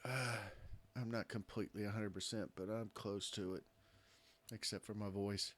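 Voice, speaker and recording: A man with a hoarse voice, casually commenting on a strategy game he is playing and thinking aloud in a hesitant way. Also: on the low side, steady tone, normal speed. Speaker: gameplay commentator. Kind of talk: casual let's-play commentary.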